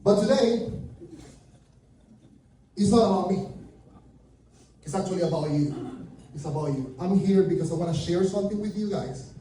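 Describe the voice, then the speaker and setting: A Venezuelan man in his thirties, Spanish his first language, speaks with a clear accent in a high, dark-toned voice. He gives a chatty, nervous talk on a stage. The sound bounces around a large, very echoey room, picked up from a distance with faint noise. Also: animated, normal speed, pauses often. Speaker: conference speaker. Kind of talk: live stage presentation. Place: large room with big windows, before an audience. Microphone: handheld mic, filmed by a far camera.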